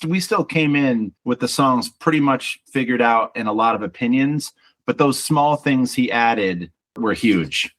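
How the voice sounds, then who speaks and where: A man with a baritone voice talking about his band's album in a casual interview, reflective and chatty, often restarting with small repeats. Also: a bit bright. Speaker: band singer and songwriter.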